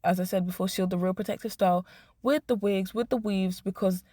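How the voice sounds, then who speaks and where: A young woman vlogger, hoarse, giving blunt, emphatic commentary to the camera. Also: medium pitch, steady tone, brisk, warm tone, no echo, clean studio sound. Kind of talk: opinionated talking-head commentary.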